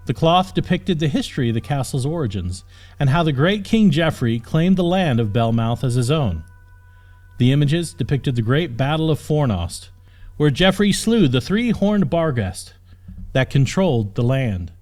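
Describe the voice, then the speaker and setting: A man narrates a fantasy audiobook in a scripted, dramatic storytelling style. His voice sits at a medium pitch. Music plays underneath the narration. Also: sing-song, normal speed, darkish tone. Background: background music.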